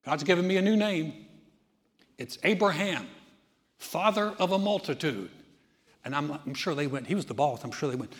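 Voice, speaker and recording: A man preaching a sermon as pastor, moving from easy conversational teaching into loud, emphatic delivery. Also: a little high, lively intonation, moderate pace, pauses often, big-room sound.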